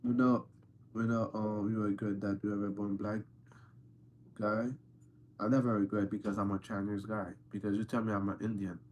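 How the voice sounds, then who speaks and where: A man's voice: lowish voice, flat delivery, moderate pace, darkish tone, lo-fi sound. A man hosting a casual livestream, answering viewers' questions in an insistent, playful way and repeating himself for emphasis. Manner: playful, teasing. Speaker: livestream host answering chat.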